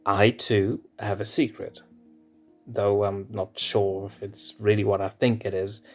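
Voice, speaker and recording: A man voicing a brash, defensive young male lion cub prince with a blunt, gruff way of speaking. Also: on the low side, flat delivery, unhurried, faint music behind. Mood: earnest.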